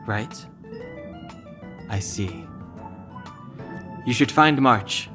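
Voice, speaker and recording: A man voicing a calm, serious, dry-witted young guard in an anime-style game, composed and deadpan. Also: medium pitch, drawn-out words. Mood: calm, explanatory. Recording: game music under the voice. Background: background music.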